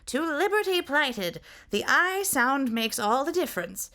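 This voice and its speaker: A woman voicing a simple-minded outlaw sentry with a high, thin, trembling voice. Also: very animated, normal speed, bright, forceful tone, exaggerated voice. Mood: high, fussy.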